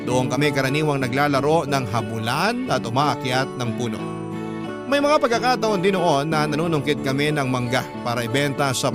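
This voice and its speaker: A man's voice: medium pitch, natural voice. A man playing a young letter writer, narrating the story of his youth in a scripted drama style. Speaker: first-person narrator. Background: loud guitar music.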